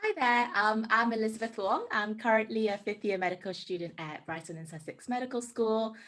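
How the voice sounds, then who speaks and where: A woman's voice: fairly high pitch, fairly quick, fairly bright tone. A woman in her twenties with a Singaporean accent, a medical student giving an earnest prepared talk with frequent ums and self-corrections.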